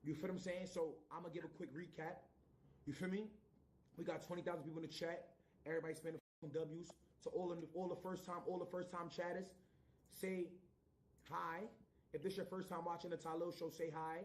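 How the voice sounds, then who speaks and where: A man in a played clip, addressing his stream chat with hyped, rapid, chant-like repetition. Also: fairly high pitch, flat delivery, a little hiss or hum. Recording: clip played from afar with faint ambience.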